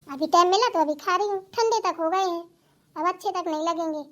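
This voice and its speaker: A woman plays a sulky young daughter-in-law with an extremely high, squeaky voice. She grumbles, argues and gets sarcastic, stubborn and put-upon, in a domestic comedy skit. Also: a little fast. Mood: sulky grumbling. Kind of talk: family comedy skit acting.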